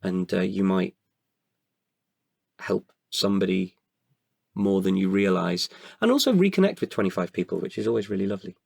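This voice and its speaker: A man giving candid, halting answers as a podcast guest, often repeating words as he goes. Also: low voice, animated, creaky, darkish tone, husky, no room sound, studio quality. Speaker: podcast guest, writer and performer.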